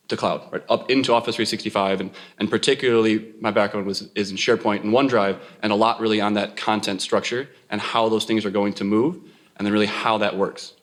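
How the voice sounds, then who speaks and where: American guy from wisconsin, lowish voice, it consultant talking on a panel. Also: fairly bright tone. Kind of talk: conversational panel talk.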